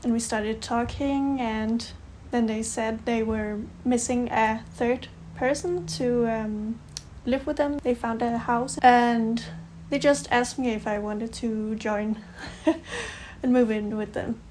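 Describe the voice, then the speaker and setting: Slow, hesitant Danish woman, late twenties. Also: fairly high pitch, fairly even intonation, a little mellow, slight background noise, rough recording. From Denmark. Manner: hesitant, fillers like um and uh, laughs between phrases. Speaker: vlog roommate. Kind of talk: casual chat to camera.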